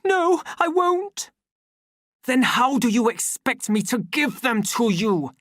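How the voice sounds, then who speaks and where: Furious young man, high and loud, shouting, read by a woman. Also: expressive, slow pace, dry recording, studio quality.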